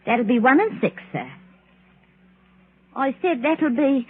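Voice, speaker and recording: A woman's voice: sits high, animated, slow pace, a little hiss or hum. An actress playing a chatty, easily frightened working-class English woman tobacconist in an old-time radio drama. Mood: chatty, deferential. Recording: tinny band-limited old radio recording.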